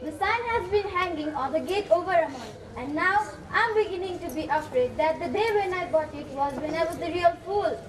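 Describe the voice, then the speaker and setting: A very high-pitched, fed-up female homeowner played by a woman declaims her complaints in an amateur stage play. She is heard from far off, over a noisy audience. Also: expressive, normal speed, hardly any pauses. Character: fed-up, businesslike homeowner anxious to sell. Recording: distant stage mic, crowd noise.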